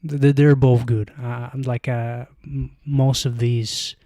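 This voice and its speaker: A man's voice: mid-range voice, little pitch movement, slow, warm tone, no echo, clean studio sound. A young man with a Bulgarian accent, chatting on a casual live stream, rambling and hesitant, with lots of fillers, into a handheld mic at home. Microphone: handheld microphone close to mouth.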